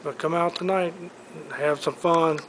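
Man, auction preview commentary, slow, clipped phrases, item by item, light background noise, indoor showroom, camera mic. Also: fairly high pitch, fairly even intonation, a bit bright. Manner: clipped, listing items one by one. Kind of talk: walk-through item commentary in short phrases. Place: large indoor auction showroom full of furniture. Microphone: handheld camera microphone. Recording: noisy handheld camera in a showroom.

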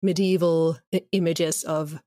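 A woman with a slightly low voice talks casually as an interview guest. Her manner is informal, and the sound is close and dry. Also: little pitch movement, a little slow, mellow, dry recording. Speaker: guest, audio drama writer and voice actor.

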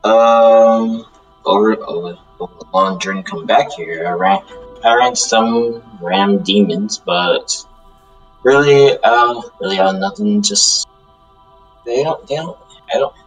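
A man's voice: lowish voice, unhurried, lightly acted, rough recording. A man playing an insecure, earnest good demon in an improvised game roleplay, hesitant and often repeating himself. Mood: worried, earnest. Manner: hesitant, stammering, repeats phrases. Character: insecure, earnest good demon shunning his evil kind. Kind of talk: improvised Minecraft anime roleplay. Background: music.

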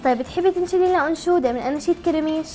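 A woman's voice: high voice, racing, dark tone, faint music behind. A woman hosting a chatty live TV call-in, asking simple, encouraging questions. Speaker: TV show host.

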